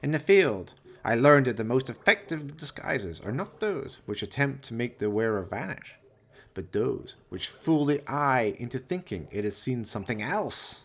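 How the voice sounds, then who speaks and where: An actor playing a vain, pompous, scheming mad scientist villain in a radio play, with florid, theatrical, grandiose delivery. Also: male voice, mid-range voice, very animated, moderate pace, gravelly. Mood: smug, lecturing.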